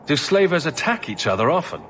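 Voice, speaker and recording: An actor playing a composed, direct adventurer hero in a video game. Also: male voice, fairly high pitch, sing-song, fairly quick, a bit bright, a little hiss or hum.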